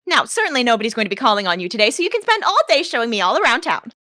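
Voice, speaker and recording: A woman voicing a vain, pushy young debutante in prim, mock-formal high-society speech. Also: very animated, very fast, bright, forceful tone, no room sound, clean studio sound. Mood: bossy.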